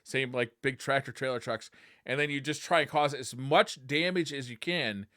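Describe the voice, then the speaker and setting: A man's voice: mid-range voice, normal speed, sharp tone, dry recording, clean studio sound. An enthusiastic man, chatting casually as a gaming podcast co-host. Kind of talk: casual chat about video games.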